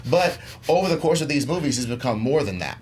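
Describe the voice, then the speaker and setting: A man with an average-pitched voice makes an animated, persuasive case as a debate show contestant. He leans on repeated phrases and often restarts mid-sentence. Some noise sits behind his voice. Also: fast talker. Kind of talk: animated persuasive argument about films.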